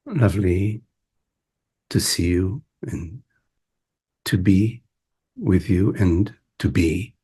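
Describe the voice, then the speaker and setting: A man guides a meditation in a low, very husky and breathy voice. His delivery is mellow and contemplative, broken into short phrases with long silences between them. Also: animated, drawn-out words, long pauses. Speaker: meditation teacher. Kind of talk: guided meditation, spoken softly to listeners.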